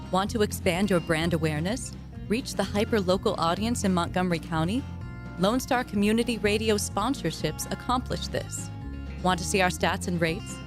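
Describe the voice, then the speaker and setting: A woman reading a scripted sponsorship promo as a station announcer. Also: mid-range voice. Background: guitar music.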